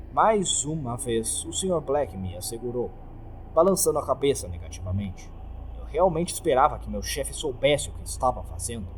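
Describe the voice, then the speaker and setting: A man with a Brazilian accent reads a scripted horror story as a dramatic narrator. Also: mid-range voice. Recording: faint background noise.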